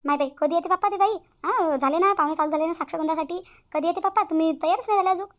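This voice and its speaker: Woman acting fast, high-pitched blunt sister-in-law. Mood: fussing. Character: blunt, quarrelsome young sister-in-law at a family engagement. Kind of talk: Marathi family comedy cartoon dialogue. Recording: slightly dull lo-fi audio.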